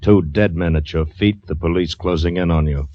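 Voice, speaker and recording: A man announcing a vintage radio drama in a grave, theatrical, deliberate style. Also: deep voice. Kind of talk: dramatic 1940s-50s radio narration. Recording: dull old radio recording, loud music.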